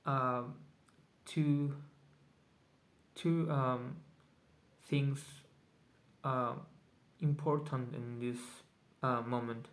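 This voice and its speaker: A man whose first language is Spanish, keeping a casual video journal in English, hesitant and often pausing to search for words. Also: mid-range voice. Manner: very slow, halting speech. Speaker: vlogger.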